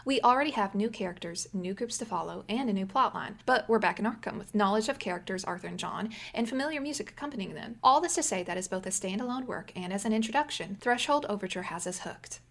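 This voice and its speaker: A woman's voice: mid-range voice, vocal fry. A woman reading prepared explanatory commentary as a podcast contributor, articulate and lecture-like.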